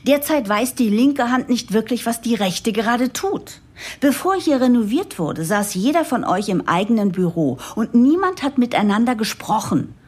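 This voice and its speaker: An actress playing a determined new managing director who narrates an audio drama, expressive, with a breathy, husky voice. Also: female voice, fairly high pitch, moderate pace. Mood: eager, persuasive. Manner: expressive, explanatory. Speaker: narrator and lead character. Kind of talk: German audio drama, first-person narration and dialogue.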